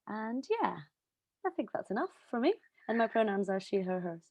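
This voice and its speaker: A woman, a theatre director, speaking in a measured way with frequent ums. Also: mid-range voice, animated, darkish tone, no room sound. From Portugal. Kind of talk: panel introduction on a video call. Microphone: video call. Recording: dry, dull video call audio.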